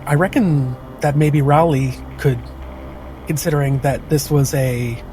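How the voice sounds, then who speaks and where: A man's voice: medium pitch, steady tone, a little slow, dark tone, soft background music, rough recording. A man who is a player in a tabletop roleplaying game, tentatively and casually describing his character's actions.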